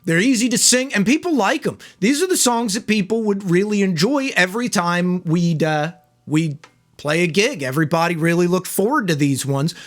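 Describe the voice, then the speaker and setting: A hoarse man giving a relaxed, chatty banjo lesson, with banjo playing under his voice. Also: high-pitched, normal speed. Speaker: banjo teacher. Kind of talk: casual video tutorial. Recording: loud banjo over the voice.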